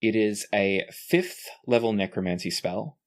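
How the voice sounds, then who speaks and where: Low-voiced man running a tabletop game. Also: measured pace. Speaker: game master. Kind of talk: narrating a tabletop fantasy game.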